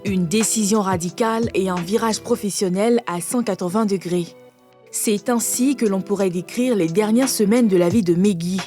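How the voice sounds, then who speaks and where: A woman reading a scripted voice-over for a television portrait report, with polished, even narration. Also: mid-range voice, moderate pace. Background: music.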